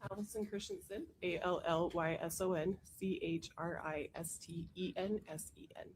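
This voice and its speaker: A woman testifying as a witness in a courtroom, hoarse from a cold, giving careful, matter-of-fact answers. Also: on the low side, fairly even intonation, moderate pace, slight background noise, low-quality recording. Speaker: trial witness, forensic technician.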